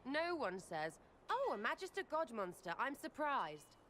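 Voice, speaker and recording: Cheeky, lively elf archer. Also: female voice, sits high, a little slow, slight background noise, exaggerated voice. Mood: agitated, incredulous. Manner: cheeky, blunt, slangy. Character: brash, irreverent young female elf archer, cheeky commoner. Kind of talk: video game character acting.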